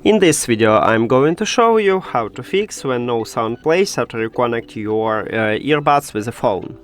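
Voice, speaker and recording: A man giving a step-by-step tech tutorial, with hesitant, halting phrasing. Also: mid-range voice, animated.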